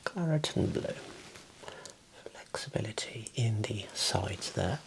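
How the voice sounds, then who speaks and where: Slow whispering ASMR man. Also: lowish voice, expressive, creaky, rough recording. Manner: gentle, unhurried, soothing. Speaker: ASMR performer playing an optician. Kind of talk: whispered ASMR eye exam roleplay. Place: small room indoors.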